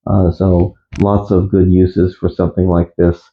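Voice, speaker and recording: A man giving a casual, unscripted gear review as a radio hobbyist, speaking in a halting, matter-of-fact way. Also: low voice, little pitch movement, dull audio. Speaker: amateur radio hobbyist presenter.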